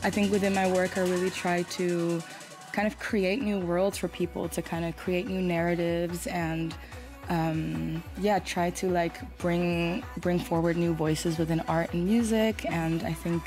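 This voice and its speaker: A woman's voice: on the low side, little pitch movement, measured pace, music in the background. A woman from Brazil, a Portuguese speaker, answering interview questions in a rambling way full of fillers. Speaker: musician guest.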